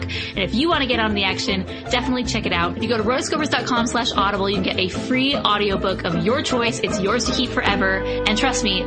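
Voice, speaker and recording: A woman with a fairly high voice gives a podcast sponsor read. She is conversational, enthusiastic and emphatic, with loud music playing underneath. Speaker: podcast co-host.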